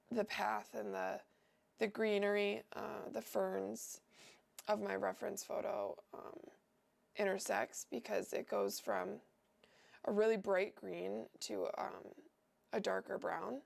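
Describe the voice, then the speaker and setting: Young woman, sick and nasal, heavy vocal fry, rambling casual painting chat, mid-pitched. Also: takes it slowly, darkish tone. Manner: meandering, thinks aloud with many fillers. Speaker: livestream host painting.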